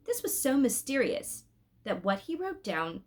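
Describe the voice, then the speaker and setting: A woman's voice: high voice, measured pace. A woman reading a story aloud expressively, in an informal way, with little asides to her listeners. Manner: animated, addresses listeners with asides.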